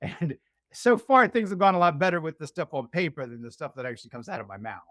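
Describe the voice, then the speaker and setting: A man's voice: fairly high pitch, lively intonation, fast talker, fairly bright tone, no room sound, clean studio sound. A middle-aged man from Virginia's Shenandoah Valley, chatting as an interview guest in a rambling, self-deprecating, joking way. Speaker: guest author. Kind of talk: casual interview chat.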